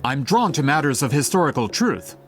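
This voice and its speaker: An actor playing a courteous, scholarly gentleman in a video game, speaking formally and earnestly. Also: on the high side, sing-song, brisk, soft background music. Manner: formal, polite, eloquent. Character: courteous, scholarly gentleman client, earnest about historical truth.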